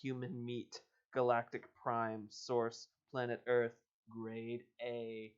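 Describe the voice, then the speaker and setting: A man's voice: mid-range voice, completely flat delivery, slow, clean tone, muffled recording, close to an everyday voice. A man voicing a menacing, theatrical alien recruiter who looks down on humans in a storybook reading. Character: sinister male alien recruiter, disdainful of humans.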